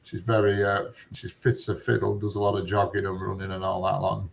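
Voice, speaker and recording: A low-voiced, middle-aged man talks in a loose, rambling way. He is cheeky and chatty, full of dialect turns. A faint hiss sits under the recording. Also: monotone, a little fast, vocal fry. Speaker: conversation partner. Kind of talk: casual chat.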